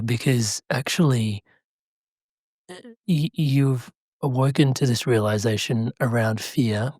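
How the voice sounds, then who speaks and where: A man's voice: medium pitch, darkish tone, dry recording. A man hosting a podcast, plugging his community and then asking probing questions. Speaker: podcast host. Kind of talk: podcast interview.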